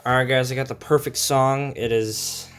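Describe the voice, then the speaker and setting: A man's voice: medium pitch, steady tone, normal speed, fairly bright tone. A man giving a casual, informal hands-on product review, explaining features as he goes. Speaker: gadget reviewer.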